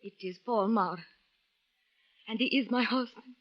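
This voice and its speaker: A woman's voice: fairly high pitch, a little slow. An actress playing a polite, earnest Syrian woman in an old radio drama, speaking in formal, precise phrases. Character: polite, earnest, worried Syrian wife seeking her missing husband. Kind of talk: 1940s-50s radio drama acting. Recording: dull old radio recording.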